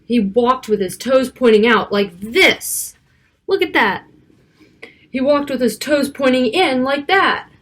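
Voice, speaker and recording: A woman reading a picture book aloud to children, expressive, with casual asides about the pictures. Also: high voice, takes it slowly. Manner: child-directed, expressive, stretching key words and pointing out pictures.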